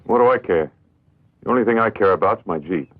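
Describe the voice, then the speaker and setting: An actor playing an irritable, impulsive traveller in a dubbed vintage horror film, husky and brusque, though able to turn polite. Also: male voice, fairly low pitch, a little fast, lightly acted. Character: irritable, impulsive man stranded on a trip, protective of car. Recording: muffled tinny old film soundtrack.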